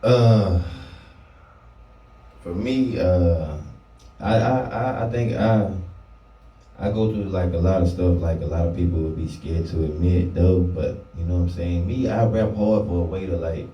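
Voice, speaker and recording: Low-voiced young man rapper, roomy distant mic. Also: measured pace, highs cut off. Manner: loose, repeats "you know what I'm saying". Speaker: rapper guest. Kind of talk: casual interview chat. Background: music playing.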